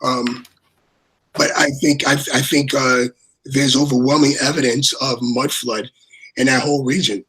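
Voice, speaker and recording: A man with a raspy voice chatting casually as a livestream co-host, rambling and repeating his words. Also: medium pitch, steady tone, unhurried, a bit bright, rough recording. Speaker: co-host and moderator.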